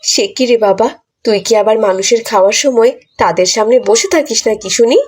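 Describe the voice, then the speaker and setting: A woman acts as a fretful, loving village housewife in a cartoon. Her voice is fairly high with a husky grain, and she speaks in a motherly, fussing way. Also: normal speed, a little mellow, natural voice. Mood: chiding, worried. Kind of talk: cartoon voice acting.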